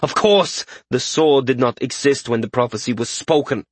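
A man's voice: medium pitch, lively intonation, moderate pace, dry recording, voice pushed up, close to an everyday voice. A man voicing a proud, fanatical middle-aged king in a fantasy audiobook, speaking formally and with imperious emphasis. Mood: fervent, insistent.

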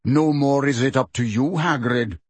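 A proud centaur leader, calm and cold, played by a man in a measured, smooth, stern storybook voice. It is slow and deliberate, with a slightly husky tone. The recording is dry. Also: on the high side, dry recording.

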